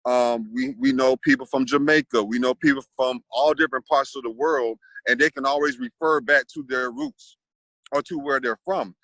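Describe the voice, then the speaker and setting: Brief: man, panel host, rambling thoughtful delivery, bright tense tone, dry close sound. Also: medium pitch, normal speed, vocal fry, no room sound, rough recording. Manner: thoughtful, rambling, many restarts. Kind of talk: casual panel discussion.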